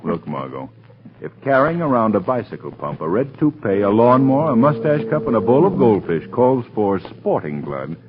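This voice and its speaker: An actor playing a wry, cool-headed, wealthy young gentleman sleuth in a 1930s radio drama. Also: mid-range voice, big pitch swings, moderate pace, lightly acted. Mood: wry, teasing. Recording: dull old 1930s radio recording.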